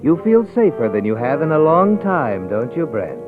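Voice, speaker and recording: An actor playing a brooding narrator who addresses the hero in an old radio suspense tale. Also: male voice, a little high, big pitch swings, moderate pace. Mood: ominous. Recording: tinny old radio broadcast, faint music.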